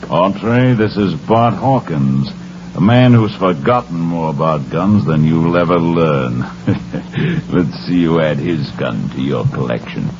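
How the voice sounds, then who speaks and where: An actor playing a smug, mockingly menacing saloon-owning gang boss in a 1940s radio Western, with a drawling delivery. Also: male voice, sits low, expressive, unhurried. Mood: mocking, confident. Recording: muffled, noisy 1940s radio broadcast.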